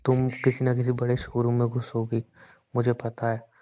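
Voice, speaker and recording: Mellow teasing young man on phone. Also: medium pitch, monotone, normal speed. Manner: teasing, joking. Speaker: boyfriend on a phone call. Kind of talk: casual phone chat. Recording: dull lo-fi call recording.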